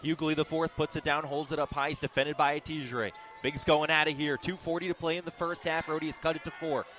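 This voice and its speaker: Male radio sports announcer: higher-pitched, forceful voice, clipped live basketball calls, arena noise behind. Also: fast talker. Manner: clipped descriptive phrases, excited calls on big plays. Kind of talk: live basketball play-by-play commentary.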